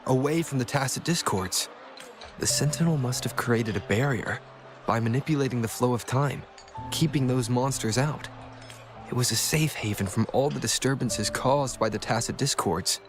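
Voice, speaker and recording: A man voicing a calm, thoughtful young hero in a video game cutscene, measured and earnest. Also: mid-range voice, close to an everyday voice. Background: faint game music.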